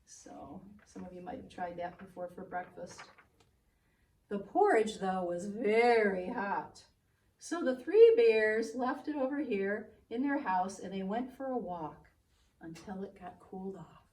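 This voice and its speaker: A woman's voice: mid-range voice, a little slow, darkish tone, recorded from a distance. A woman preschool teacher telling a children's story with exaggerated expression, speaking directly to young listeners. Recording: roomy, away from microphone.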